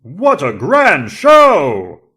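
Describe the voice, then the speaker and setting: A man voicing an ancient enchanted tiger saber in an audio drama, formal, flattering and theatrical. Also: a little high, extremely slow, voice pushed up, full character voice. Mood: grand delight. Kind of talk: audio drama character acting.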